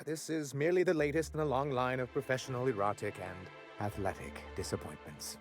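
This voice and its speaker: A man's voice: mid-range voice, brisk, music in the background, close to an everyday voice. An actor playing a flamboyant, vain male corporate executive who talks in a theatrical, florid way full of innuendo. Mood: grandiloquent.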